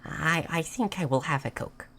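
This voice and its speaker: A woman playing a gentle, naive moth person in a roleplay audio, speaking in halting, simple, formal phrases. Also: deep voice, a little hiss or hum. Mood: curious, hesitant. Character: gentle, naive, curious moth person learning human things. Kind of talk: scripted roleplay audio addressed to the listener.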